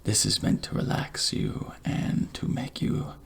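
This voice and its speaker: A man speaking softly in a breathy half-whisper, gentle and courteous, as a barber in an ASMR roleplay. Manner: gentle, courteous, unhurried reassurance. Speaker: ASMR creator playing a night-shift barber.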